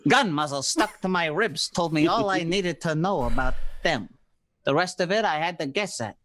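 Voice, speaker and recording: A man's voice: a little high, a bit bright. A man playing a cynical, terse, wisecracking private detective in a noir radio play. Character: hard-boiled cynical male private detective.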